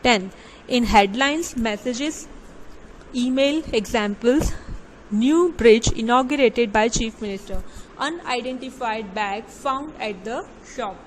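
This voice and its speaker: A woman's voice: a little high, a little hiss or hum, rough recording. A woman teaching an English grammar lesson to students, reading out rules and examples, then explaining them with small restarts and fillers. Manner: slow, deliberate reading of examples with frequent restarts.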